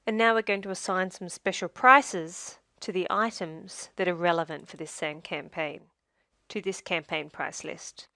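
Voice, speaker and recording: A woman narrating a scripted software tutorial as a demonstrator, in a measured, step-by-step instructional way. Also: lowish voice, fairly even intonation, vocal fry, dry recording.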